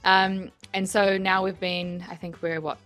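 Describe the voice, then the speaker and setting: A woman's voice: medium pitch, little pitch movement, measured pace, fairly bright tone, soft background music. A woman in a company leadership role giving explanatory interview answers.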